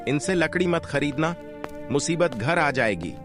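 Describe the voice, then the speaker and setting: A man's voice: on the high side, lively intonation, measured pace. Man playing a sneering villager, swooping tone. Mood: scornful. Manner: sneering, warning. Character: scornful, superstitious village man at market. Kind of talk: cartoon moral-story dialogue. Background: music.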